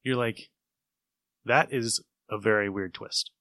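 A man's voice: on the low side, slow, bright, forceful tone, no room sound, studio quality. A man hosting a casual podcast chat about old radio shows, rambling along as an enthusiastic storyteller. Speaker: podcast host.

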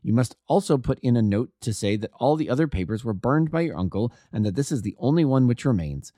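A man voicing a brisk, commanding detective in a storybook, speaking in urgent, precise imperatives. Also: medium pitch, mellow, no room sound, clean studio sound, close to an everyday voice. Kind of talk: audiobook character dialogue.

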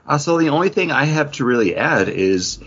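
A man's voice: a little high, lively intonation, fairly quick, a bit bright, breathy edge, dull audio. A man co-hosting a podcast in a casual, wry, teasing way. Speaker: podcast co-host. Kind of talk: casual banter.

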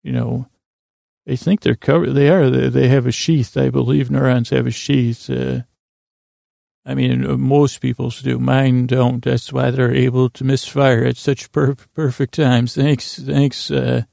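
A man hosting a podcast, rambling in a halting, self-deprecating, whimsical monologue that keeps wandering off topic, with a gravelly, creaky voice. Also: medium pitch, little pitch movement, dark tone, no room sound, studio quality. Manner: meandering, halting, goes off topic mid-sentence. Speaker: podcast host.

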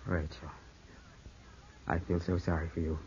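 An actor playing an earnest, anxious young English gentleman weakened by illness, in formal 1950s radio drama style on an old broadcast. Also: low-pitched, level tone, measured pace, breathy edge, full character voice. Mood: weak, rueful. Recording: muffled 1950s radio broadcast, music behind.

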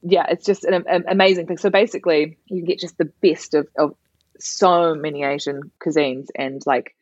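A woman's voice: sits low, steady tone, normal speed, darkish tone, no echo, lo-fi sound. A young woman with a New Zealand accent, a comedian chatting as a guest, laughing often and restarting her sentences. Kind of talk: casual podcast interview.

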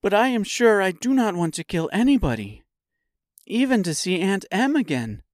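A man voicing a sad, tender little girl, plaintive and gentle, in a storybook reading. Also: sits high, very animated, moderate pace, dry recording, clean studio sound, pitched above the performer's own voice. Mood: reluctant.

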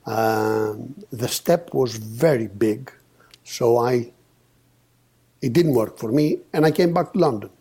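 A man in his fifties from Greece, a podcast guest telling his story with many pauses and restarts. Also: medium pitch, warm tone, slightly rough. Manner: hesitant, many uhs and restarts. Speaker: podcast guest, company founder. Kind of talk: casual storytelling interview.

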